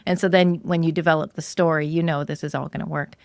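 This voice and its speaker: A woman's voice: sits low, steady tone, fast talker, creaky, no room sound, studio quality. A woman hosting a podcast interview in an informal, encouraging way, thinking aloud as she talks. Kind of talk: conversational interview.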